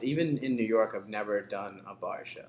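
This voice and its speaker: A man's voice: fairly low pitch, moderate pace, a little mellow. A man talking casually as a participant in a podcast conversation. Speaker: podcast participant, improv performer. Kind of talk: casual chat. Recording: echoey room with faint background noise.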